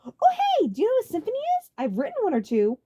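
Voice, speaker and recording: A woman's voice: very high-pitched, huge pitch swings, fast, dark tone, pitched above the performer's own voice, heavily acted. A woman voicing a sarcastic, short-tempered older brother who is passionate about music, in an audiobook story. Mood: forced cheer, irritated. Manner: exaggerated, seething sarcasm.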